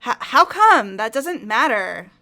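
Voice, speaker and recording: A woman's voice: fairly high pitch, sing-song, slow, sharp tone, exaggerated voice. A woman voicing a flustered young female suspect who stammers and gets defensive under questioning. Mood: nervous. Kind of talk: fan voice acting of a game.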